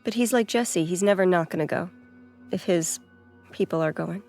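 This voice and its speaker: A woman with a lowish voice chats through a game commentary. She is analytical and conversational, discussing as she watches. Quiet music runs behind her. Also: normal speed.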